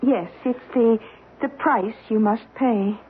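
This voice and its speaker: An actress playing a timid, uneasy secretary in a radio drama, halting and placating as she humors a deluded man. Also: fairly high pitch. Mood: nervous humoring. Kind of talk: 1970s radio drama acting. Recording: noisy tinny old radio broadcast.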